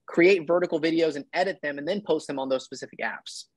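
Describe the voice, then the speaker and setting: A man's voice: on the high side, fast, no room sound. A man in his thirties giving casual, emphatic and blunt advice as a coach on a one-on-one video call. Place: indoor room, video call.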